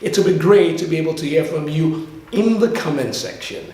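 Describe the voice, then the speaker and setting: A man's voice: a little high, animated, a little fast, mellow. A man teaching an English lesson to viewers, explaining didactically and repeating key words for learners to say along. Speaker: English teacher and video host. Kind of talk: language lesson to camera. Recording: very echoey, reverberant room.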